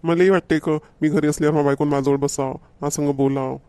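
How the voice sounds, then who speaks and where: A man's voice: a little high, fairly even intonation, fast talker, warm tone, clear, resonant tone, no room sound, close to an everyday voice. A man voicing a bossy, self-pitying middle-aged husband in a village cartoon, nagging repetitively in a Varhadi Marathi accent. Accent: Varhadi Marathi of Vidarbha. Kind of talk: amateur cartoon comedy dialogue.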